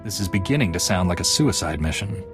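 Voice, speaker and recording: An actor playing a confident young Jedi Knight in a video game, plain-spoken and wry. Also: male voice, sits low, expressive, fast, music in the background.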